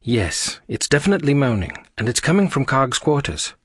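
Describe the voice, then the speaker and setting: A man's voice: mid-range voice, sing-song, normal speed, husky, dry recording, voice pushed up. A male narrator puts on a bold alien woman from a space adventure story, lifted well above his natural register. The delivery is urgent and commanding, with an exasperated edge, recorded very dry. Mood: alert.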